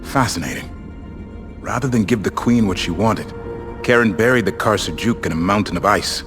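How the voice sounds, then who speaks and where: A man playing a pragmatic intelligence officer in a game, speaking in a formal, measured briefing manner. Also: on the low side, music in the background, lightly acted. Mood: sober, cautious.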